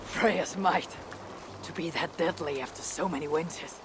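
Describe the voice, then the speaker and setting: An actress playing a tough, proud female Viking warrior in a video game, deliberate and solemn, with a husky, gravelly voice. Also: deep voice. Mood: solemn, curious. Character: tough, proud Viking woman warrior, loyal to her clan. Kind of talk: video game cutscene acting. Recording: noisy outdoor game ambience.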